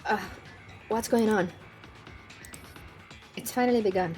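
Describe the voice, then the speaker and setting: A woman's voice: mid-range voice, a little slow, a little mellow, faint music behind. A young woman whose first language is Spanish, speaking English with a Spanish accent as she chats casually with her stream viewers, rambling and laughing often. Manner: giggly and rambling, often laughing between lines.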